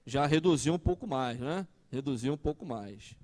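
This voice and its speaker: A man's voice: medium pitch, animated, moderate pace, a little mellow. A man, preaching a conversational sermon and asking the congregation questions. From Brazil. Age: 30s. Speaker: pastor.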